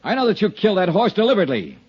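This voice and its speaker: An actor playing a calm, firm male insurance investigator who narrates in a steady, clipped way and is firm when he confronts someone, in 1950s radio drama style, on a muffled old broadcast with music. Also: high voice, very fast, husky, heavily acted. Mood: confronting. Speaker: narrator and lead.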